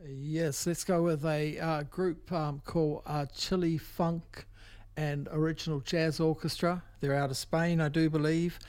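A man's voice: fairly high pitch, level tone, unhurried, a little mellow, clean tone. A man hosting a music radio show off the cuff, hesitant and searching for words. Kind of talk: unscripted music show commentary between tracks. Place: radio studio.

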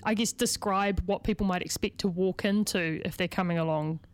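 A woman's voice: lowish voice, a little fast. A woman with a New Zealand accent interviewing conversationally on radio, chatty and rephrasing her questions as she goes.

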